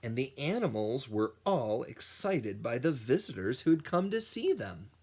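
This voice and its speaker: Slow, animated man reading to children. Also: mid-range voice, breathy edge. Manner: expressive, dramatic pauses. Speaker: children's music teacher reading aloud. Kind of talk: animated picture-book read-aloud for young children.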